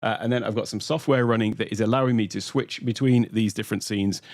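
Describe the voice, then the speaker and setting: A middle-aged man with a British accent runs a live-streamed workshop, chatting loosely and pausing often on "uh". His voice sits fairly low, and the sound is dry and close, with no room echo. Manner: relaxed, conversational, many "uh" fillers, greets chat viewers. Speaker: workshop host and tech presenter. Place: home studio.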